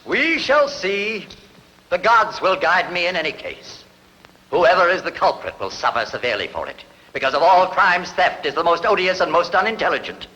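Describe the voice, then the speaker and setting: A man's voice: high-pitched, big pitch swings, moderate pace, bright, forceful tone, muffled recording, heavily acted. A man dubbing a stern, resolute ancient king with a formal, declamatory delivery in a film drama.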